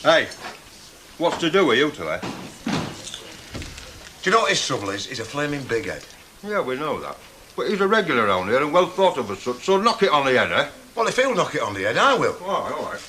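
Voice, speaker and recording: A man's voice: on the high side, big pitch swings, fairly quick, pauses often, bright, forceful tone, a little hiss or hum. An actor playing a cheeky, bantering workman.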